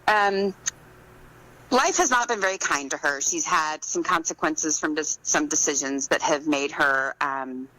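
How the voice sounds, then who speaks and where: Low-pitched, bright-voiced woman in conversation. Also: rough recording. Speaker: guest author being interviewed. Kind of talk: conversational interview answers.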